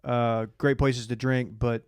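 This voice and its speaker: A man from Dallas talks as a podcast co-host in casual, conversational banter. His voice sits at a middling pitch, recorded close and dry. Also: level tone, takes it slowly, smooth, dry recording. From Dallas, Texas. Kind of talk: casual banter recapping reality TV.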